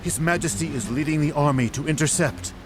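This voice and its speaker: An actor voicing a fish-folk soldier bringing urgent news in a video game cutscene. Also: male voice, on the high side, a little fast, noisy background, natural voice. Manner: urgent, reporting. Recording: game score underneath.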